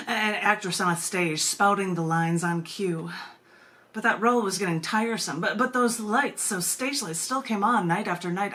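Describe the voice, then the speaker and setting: A woman's voice: on the low side, fairly bright tone. A woman who hosts a virtual poetry open mic, speaking informally as she shares and reads her poems. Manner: informal, giggly, digressive asides in chat. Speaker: poet, open mic host. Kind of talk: chatty hosting of a virtual poetry reading, with poems read aloud. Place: virtual meeting, live video stream.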